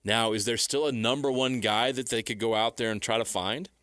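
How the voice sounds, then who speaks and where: A man's voice: medium pitch, steady tone, fairly quick, fairly bright tone, no room sound, clean studio sound. An American man co-hosting sports talk, giving analysis emphatically in short, repeated phrases. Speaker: sports radio co-host.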